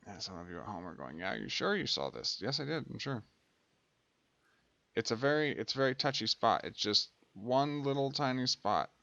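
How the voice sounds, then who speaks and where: A man's voice: medium pitch, sing-song, normal speed, creaky, bright, forceful tone, no room sound, dull audio. A man giving casual let's play commentary as a gaming host, thinking aloud and muttering to himself.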